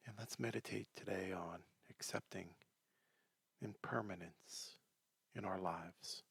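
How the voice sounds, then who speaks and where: Male meditation guide: deep, husky and soft, gentle delivery with long pauses, breathy phrasing. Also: fairly even intonation, no room sound. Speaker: meditation teacher.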